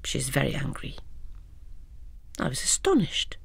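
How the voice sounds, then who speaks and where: A woman narrating a memoir audiobook in a measured, expressive reading. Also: extremely low pitch, creaky, husky, whispered.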